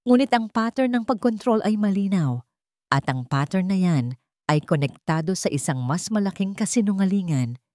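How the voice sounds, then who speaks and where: A woman's voice: medium pitch, lively intonation, normal speed, dark tone, dry recording, clean studio sound. A woman reading a scripted story aloud as a dramatic narrator.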